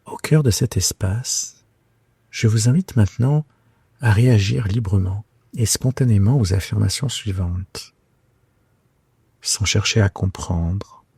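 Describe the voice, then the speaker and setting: A man guiding a yoga nidra meditation for sleep in a soft, breathy, soothing and hushed voice, with unhurried phrasing and long pauses. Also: lowish voice, no room sound. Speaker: yoga nidra guide and podcast host. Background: soft plucked guitar music.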